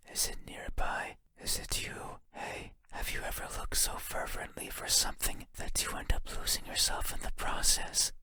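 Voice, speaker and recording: A man voicing a lonely, curious shadow creature in a horror game, speaking in a breathy, halting, intimate whisper that is softly insistent. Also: natural voice. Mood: wistful, searching. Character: eerie wandering shadow creature, lonely, curious, longing to be seen.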